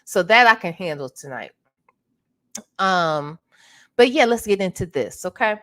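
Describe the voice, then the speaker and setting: A woman hosting a casual livestream, chatting with her viewers while sounding congested and clearing her throat now and then. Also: on the low side, animated, a little slow, fairly bright tone. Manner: chatty, greets viewers by name. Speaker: livestream host.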